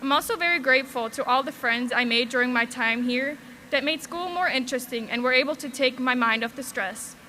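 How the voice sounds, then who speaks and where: A woman's voice: high-pitched, a little fast, pushed and bright, a little hiss or hum. A young woman from Switzerland giving a valedictorian speech at a graduation, earnest with light humor, on a podium microphone. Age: young adult.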